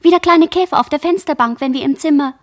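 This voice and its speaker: A woman playing a dazed, barely conscious girl who survived a shipwreck, speaking halting fragments in a radio play monologue. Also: sits high, close to an everyday voice. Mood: trance-like. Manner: fragmented, halting word chains.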